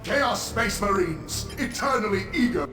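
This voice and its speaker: An actor playing an eager, battle-hungry soldier barking short lines in a video game. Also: male voice, high-pitched, animated, unhurried, over music. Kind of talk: video game unit barks. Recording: echoey, distant game audio.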